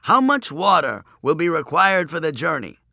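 A man voicing a pedantic chief priest in an audiobook, droning out riddles in a drawn-out, pompous recitation. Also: on the high side, very animated, vocal fry, sharp tone, dry recording, clean studio sound, pitched above the performer's own voice, full character voice. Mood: pompous testing.

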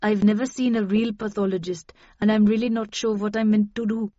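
A narrator puts on a middle-aged woman pathologist for an audiobook. She is brisk and humourless, intense with a faint melancholy. The sound is very dry and a little dull. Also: fairly high pitch, no room sound, close to an everyday voice. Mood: slightly melancholic.

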